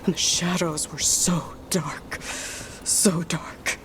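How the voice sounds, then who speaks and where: A woman playing a frightened, drained lost scout just rescued in a video game, shaken, breathy and haunted. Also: lowish voice, fairly even intonation, very slow, a bit bright. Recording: noisy outdoor ambience behind.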